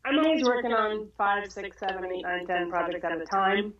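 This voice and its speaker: A middle-aged woman guest, a quilter, chatting casually on a video call from her home studio, a little stuffy and congested, lively and full of quick asides. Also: mid-range voice. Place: sunny home studio, indoors. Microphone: wireless earbuds on a video call. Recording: dull lo-fi video call audio.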